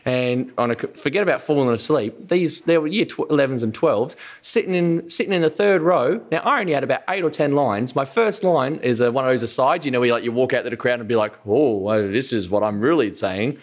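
Male guest performer, very quick talker, candid and animated casual storyteller, mid-range voice. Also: medium pitch. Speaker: guest actor and comedian.

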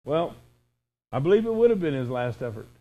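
A man's voice: on the high side, sing-song, fairly quick, dark tone, muffled recording. A man in his sixties preaching an informal, conversational sermon in a folksy way, with emphatic repetition and imagined dialogue. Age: 60s.

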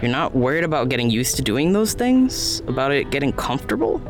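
An actor playing a worried, morally serious friend in an audio drama, cautioning in plain, measured, casual words. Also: male voice, mid-range voice, sing-song, music in the background, lightly acted. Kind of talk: naturalistic audio drama acting.

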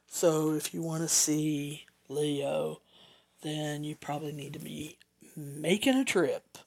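Slow southern podcast host rambling thru updates, lots of little tangents. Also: medium pitch, creaky, darkish tone. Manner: rambling, chatty asides, self-corrections. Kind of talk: casual solo podcast rundown.

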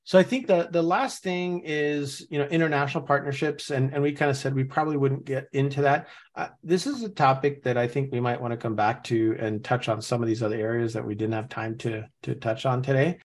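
Guy hosting a podcast, mid pitch, thinks out loud, lots of restarts. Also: steady tone, fairly quick, few pauses. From Norway. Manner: thinks aloud, reading and musing with many restarts. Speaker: podcast host. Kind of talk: casual podcast chat. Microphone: remote call setup.

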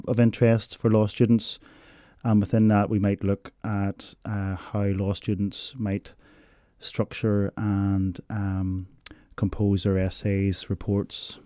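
Guy explaining student support, flat low voice, lots of ums, dry sound. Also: clear, resonant tone, no room sound. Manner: measured, explanatory, frequent ums. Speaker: university learning support staff. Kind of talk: informational interview answers.

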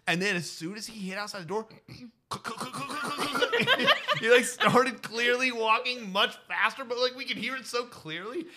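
A man's voice: very high voice, very animated, moderate pace, noisy background. A young man co-hosting a podcast, telling a rambling story in casual chat and laughing often. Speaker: podcast co-host.

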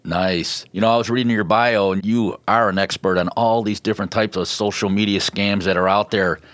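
Casual man with a low voice. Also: fairly even intonation, fairly quick, a bit bright, dry recording. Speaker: podcast co-host. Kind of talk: casual conversational interviewing.

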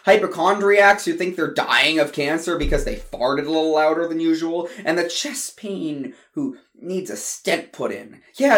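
A man's voice: high-pitched, voice pushed up. A man voicing his overworked doctor brother, who talks heatedly and raises his voice as he vents.

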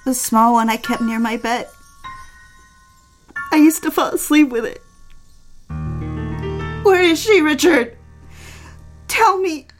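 A woman's voice: sits high, animated, slow pace, pauses often. A woman acting a distraught, pleading young wife in an audio drama, anxious and full of questions. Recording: music under the voice. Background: music.

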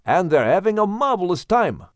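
A man's voice: sits high, sing-song, normal speed, dry recording, studio quality, voice pushed up. A man voicing a wise, indulgent father in a children's Bible story, genial and reassuring. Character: kindly wise father Noah, calm and indulgent.

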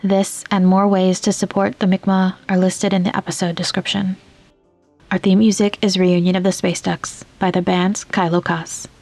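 A woman hosting a podcast, reading scripted announcements and credits in a measured, clearly enunciated way. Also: on the low side, a little mellow. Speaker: podcast host.

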